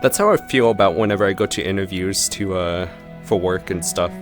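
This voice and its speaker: A man with a very low voice reacts to a video game. He talks in casual, playful asides, with faint music behind him. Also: brisk. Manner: playful, informal muttering asides. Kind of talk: casual gameplay commentary.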